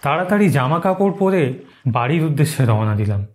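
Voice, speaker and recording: A man narrating a horror story in the first person, dramatic and suspenseful, with deliberate pauses. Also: a little high, big pitch swings, a crowd in the background. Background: music under the narration.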